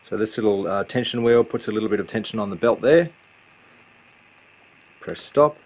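Deep-voiced Australasian man, casual how-to. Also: moderate pace, low-quality recording. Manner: informal, step-by-step explaining. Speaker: repair hobbyist demonstrating a belt change. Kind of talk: casual hands-on repair walkthrough.

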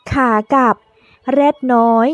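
A woman narrating a scripted children's storybook in a drawn-out, deliberate way for young learners, in the manner of a teaching tale. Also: a bit bright, soft background music.

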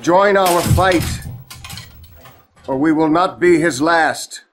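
An actor playing a middle-aged American president, grave and resolute in a formal address. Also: male voice, a little high, slow pace, background noise. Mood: grave appeal. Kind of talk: formal televised address acting.

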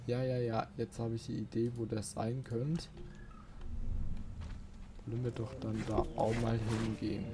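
A man's voice: mid-range voice, level tone, unhurried, long pauses, noisy background. A man giving casual, grumbling let's play commentary as he plays a video game. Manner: grumbling, mild swearing at the game.

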